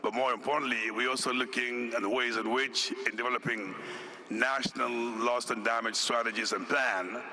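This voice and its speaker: A man from Senegal, an executive director, giving a formal conference speech in a deliberate, measured way, listing his points one by one. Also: medium pitch, steady tone, noisy background. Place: conference hall.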